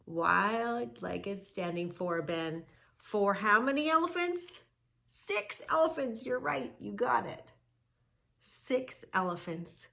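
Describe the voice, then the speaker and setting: A woman hosting a children's yoga read-along, teaching in an emphatic, sing-song way with drawn-out key words and questions. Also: mid-range voice, expressive, creaky, a bit bright. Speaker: children's yoga story-time host. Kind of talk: read-along lesson for young children, narrating and instructing.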